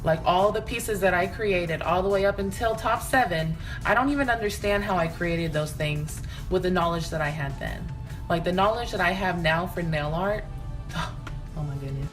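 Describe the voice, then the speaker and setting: Low-voiced young woman over music. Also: moderate pace. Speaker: nail artist, contest entrant. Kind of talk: personal audition video talk.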